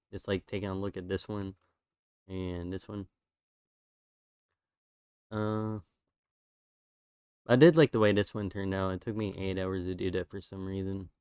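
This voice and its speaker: A man's voice: low voice, clear, resonant tone, no room sound, clean studio sound. A man streaming, chatting casually and rambling through his drawings while losing his voice. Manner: relaxed, rambling with fillers.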